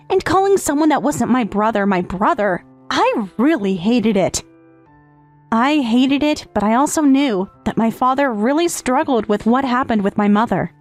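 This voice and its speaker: A woman playing a withdrawn, grieving teenage step-sister, narrating in an earnest, hesitant, confessional way in a manga dub. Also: sits high. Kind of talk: dramatic first-person narration in manga dub. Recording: background music under the voice.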